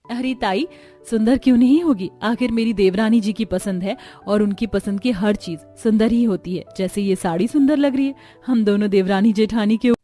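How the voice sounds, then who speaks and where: A woman's voice: mid-range voice, normal speed, dark tone, faint music behind, close to an everyday voice. A woman voicing a kind, cheerful young bride with a reassuring, eager manner in a cartoon story. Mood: warm, pleased. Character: kind, cheerful young bride, helpful elder sister-in-law. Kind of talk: Hindi cartoon story dialogue.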